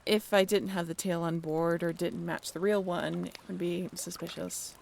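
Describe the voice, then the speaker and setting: A woman playing a caring, bashfully candid half-mermaid ship crew member in an audio roleplay, speaking straight to the listener in a halting, natural, gently bossy way. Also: fairly low pitch, steady tone, vocal fry. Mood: sheepish, confessing. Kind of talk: audio roleplay drama spoken directly to the listener.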